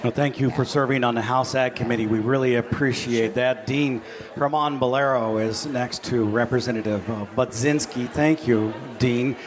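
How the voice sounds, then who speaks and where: A man's voice: medium pitch, steady tone, normal speed, crowd noise. A man moderating a panel, introducing speakers in an upbeat, chatty way.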